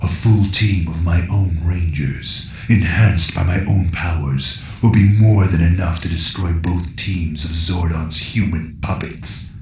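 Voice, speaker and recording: A man playing a menacing, scheming alien emperor in an audio drama, slow and commanding, with a gravelly voice. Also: darkish tone. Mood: gloating, scheming. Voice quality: very deep, gravelly. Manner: slow, imperious. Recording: distant echoing voice, faint noise.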